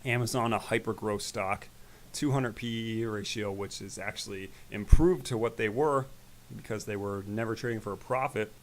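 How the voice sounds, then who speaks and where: A man hosting an investing channel, talking casually through his stock portfolio in a rambling way, his voice growing raspy. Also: fairly low pitch, normal speed. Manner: relaxed, rambling, with fillers. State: voice getting raspy. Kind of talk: casual screen-share stock commentary.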